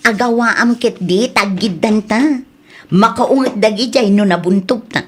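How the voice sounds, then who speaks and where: A woman's voice: mid-range voice, unhurried, slight background noise, natural voice. An actress with an Ilocano accent playing an impatient young female friend in a radio drama. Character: impatient young girlfriend hurrying her pal along.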